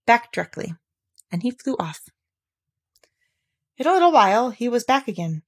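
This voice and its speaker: A woman reading a children's story aloud as an expressive narrator. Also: on the high side, moderate pace.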